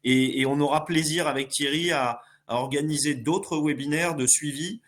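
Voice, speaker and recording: A man's voice: a little high, normal speed, fairly bright tone. A man hosting a business webinar, speaking politely and formally, with frequent fillers as he wraps up. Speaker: webinar host.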